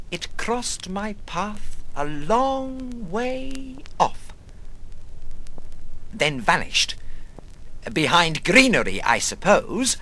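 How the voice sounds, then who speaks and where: An actor reading a literary monologue as a rambling, brooding, eccentric man recalling his past, theatrical and halting, moving between murmur and outburst. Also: a little high, pushed and bright, full character voice, low-quality recording. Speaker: reader of a literary prose monologue.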